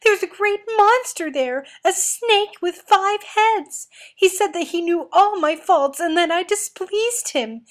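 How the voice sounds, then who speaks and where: A woman's voice: very high-pitched, lively intonation, takes it slowly, few pauses, voice pushed up, heavily acted. A woman voicing a proud, vain young woman in a storybook, with dramatic exclaiming. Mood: hysterical.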